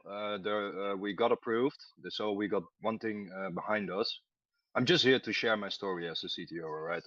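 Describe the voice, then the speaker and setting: A man calling in to a trading chat, hesitant and blunt, filling pauses with "uh". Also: low-pitched, dry recording. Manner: hesitant, many "uh" fillers, blunt. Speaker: caller, project founder. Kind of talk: casual chat. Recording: dull lo-fi mic.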